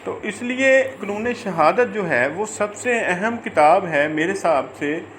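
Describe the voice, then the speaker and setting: A man with a Pakistani accent giving an informal law lecture, halting and repeating phrases as he reads out and explains. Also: high voice, lively intonation, fairly bright tone, a little hiss or hum. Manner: halting, repeats phrases, stretches out English words when reading. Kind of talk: informal lecture, reading English statute and explaining it.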